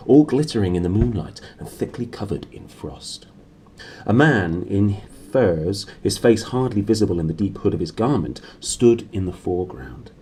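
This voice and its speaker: Man, thirties, low mellow voice, novel reading, clear background noise. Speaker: reader. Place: small indoor room. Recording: clearly noisy room recording.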